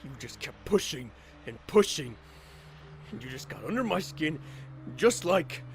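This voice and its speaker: A man's voice: on the high side, very animated, unhurried, creaky, slight background noise, heavily acted. A man playing a guarded, abrasive young survivor in a zombie apocalypse audio drama, curt, defensive and foul-mouthed. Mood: hurt, bitter. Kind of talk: fan audio drama acting.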